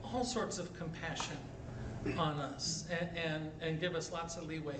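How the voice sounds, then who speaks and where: High-voiced bishop in an echoey hall. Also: male voice, steady tone, measured pace. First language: English. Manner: jovial storyteller, asks "right?" often. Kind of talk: conference talk with Q&A, switching between Spanish and English. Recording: echoey hall, distant mic, background noise.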